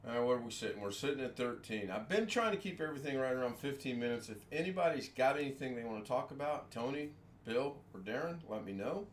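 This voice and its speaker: A man's voice: medium pitch, expressive, quick pace, a little hiss or hum. A man hosting a casual home livestream, rambling from topic to topic, making fun of himself and chuckling often. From United States. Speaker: livestream host. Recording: echoey room with faint ambience.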